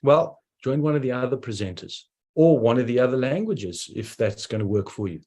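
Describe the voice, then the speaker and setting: A man with a South African accent giving a persuasive online presentation, talking in quick bursts with sudden slowdowns. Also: mid-range voice, lively intonation, a little mellow.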